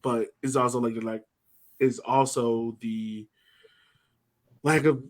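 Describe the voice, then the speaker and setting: A man's voice: medium pitch, steady tone, slow. A man co-hosting a casual sports podcast, talking loosely with many fillers. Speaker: podcast co-host.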